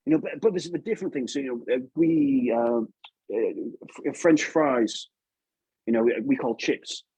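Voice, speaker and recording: A man's voice: mid-range voice, normal speed, dark tone, breathy edge, no echo, rough recording. A man with an English accent chatting casually as an interview guest, informal and often tagging questions onto his remarks. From England. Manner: informal, chatty, seeks agreement with tag questions. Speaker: guest puppeteer.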